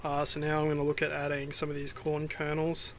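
A man's voice: a little high, monotone, fairly quick, a bit bright, slight background noise. An Australian man talking casually through a cooking walkthrough inside a small van, with many ums and restarts. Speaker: vlogger.

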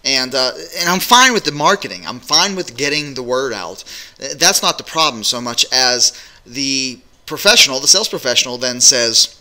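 Bright-voiced middle-aged man giving business advice. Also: mid-range voice, moderate pace, low-quality recording. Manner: energetic, direct, many fillers. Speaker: business coach. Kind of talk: direct-to-camera business advice vlog. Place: small home office indoors. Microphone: webcam at desk.

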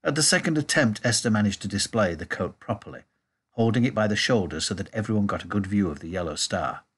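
A man reading a children's storybook aloud in a measured, expressive way. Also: lowish voice, slightly rough. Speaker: audiobook reader. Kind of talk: storybook narration.

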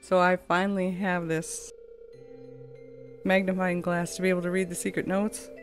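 A woman's voice: fairly low pitch, flat delivery, normal speed. A woman giving casual, chatty, rambling let's play commentary as a gaming video host. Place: at home, window open a crack, fan running. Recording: game music behind the voice. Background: game music.

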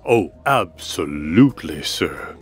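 A man's voice: deep voice, sing-song, over loud music, full character voice. A man playing an obsequious, fussy restaurant manager eager to placate, in a comedy audio sketch. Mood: soothing, obsequious. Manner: obsequious, deliberate.

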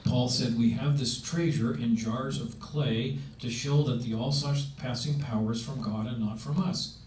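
A man's voice: mid-range voice, fairly even intonation, measured pace, darkish tone. Emphatic seventy-year-old man preaching. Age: 70s. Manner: emphatic, conversational preaching with rhetorical questions. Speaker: speaker on aging. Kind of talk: sermon-style talk. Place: meeting room. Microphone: handheld mic. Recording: roomy sound from a distance with faint noise.

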